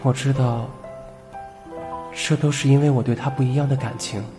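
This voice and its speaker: A young man playing a gentle, shy student quietly in love, soft-spoken and wistful, in an audio comic drama. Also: mid-range voice, fairly even intonation, moderate pace, music in the background, natural voice.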